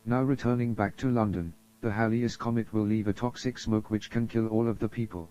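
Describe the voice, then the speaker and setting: The audio is muffled and lo-fi, carrying a man's very dark, mellow voice. He reads scripted emergency announcements in a formal, solemn manner, like a broadcast announcer addressing the public. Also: fairly low pitch, steady tone, brisk, clean tone. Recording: dull lo-fi audio.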